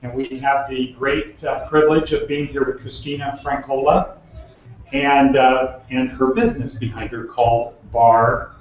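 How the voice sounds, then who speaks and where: A man's voice: mid-range voice, level tone, a little slow. Chatty man interviewing from far off in an echoey room. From California. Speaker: magazine interviewer. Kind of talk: casual interview. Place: business lobby beside a fitness studio. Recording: echoey, muffled, mic far off.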